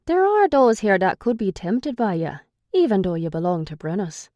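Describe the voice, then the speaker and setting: A gruff, sarcastic young man who guards a captive and has no patience for her, as a woman narrator puts him on. His lines come out raised, pushed and scolding, higher than her own reading voice, recorded close and dry. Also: big pitch swings, normal speed, warm tone, dry recording, highs cut off, natural voice. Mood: teasing. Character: irritable sarcastic young male captor.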